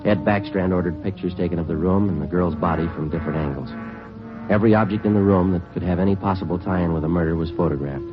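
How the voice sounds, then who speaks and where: An actor playing a terse police detective who narrates a 1950s radio drama in a flat, matter-of-fact way. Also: male voice, low-pitched, fast talker, close to an everyday voice. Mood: narrating. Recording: muffled vintage radio broadcast with music.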